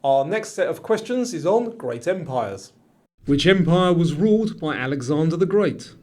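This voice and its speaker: A man hosting a quiz, reading scripted questions and instructions to the player in a clearly enunciated presenter's delivery, with music behind him. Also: on the high side, lively intonation, moderate pace, a little mellow. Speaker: quiz show host. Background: background music.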